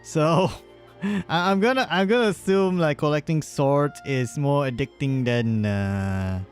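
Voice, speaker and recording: A man's voice: a little high, takes it slowly. A man live-streaming with casual, joking, informal gameplay commentary and hesitant phrasing. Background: game music.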